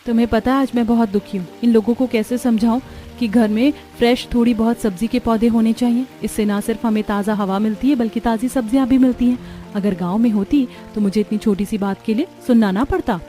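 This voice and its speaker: A woman voicing a gentle, earnest young village daughter-in-law in a cartoon. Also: fairly high pitch, steady tone, a little fast, soft background music, lightly acted. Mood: sad.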